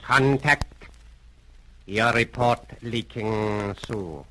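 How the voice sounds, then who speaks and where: An actor playing a sinister, commanding criminal mastermind in a 1930s radio drama, speaking formally and deliberately with cool courtesy. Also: male voice, on the low side, bright, forceful tone, exaggerated voice. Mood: coolly probing. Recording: noisy old 1930s radio recording.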